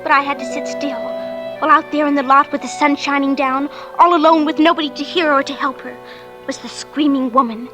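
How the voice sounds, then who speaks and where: A woman's voice: sits high, steady tone, a little fast, natural voice. An actress playing an earnest, insistent young girl in an old radio drama, pleading with childlike urgency. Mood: tense recollection. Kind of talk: 1940s-50s radio drama acting. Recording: vintage radio recording with music behind.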